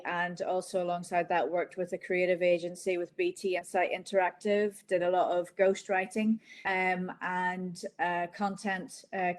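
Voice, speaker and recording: A woman in her forties with a Northern Irish accent, chatting reflectively as an interview guest about her own life. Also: fairly low pitch. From Northern Ireland. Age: 40s. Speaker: interviewed guest, business founder. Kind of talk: conversational interview, personal storytelling. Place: online video call.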